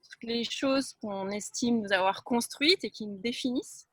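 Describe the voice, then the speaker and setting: Bright-voiced woman speaking frankly. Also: on the high side, normal speed, no echo, rough recording. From France. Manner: frank, emphatic, conversational. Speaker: Taoist teacher, guest. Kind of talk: reflective interview talk.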